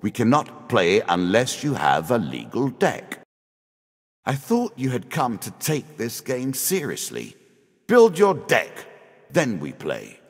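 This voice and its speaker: An actor playing an ancient, immortal dealer in a fantasy card game, speaking in a theatrical, measured, ominous and condescending way. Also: male voice, mid-range voice, huge pitch swings, husky, heavily acted. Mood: stern, disdainful. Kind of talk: scripted fantasy game character lines. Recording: long reverb on the voice.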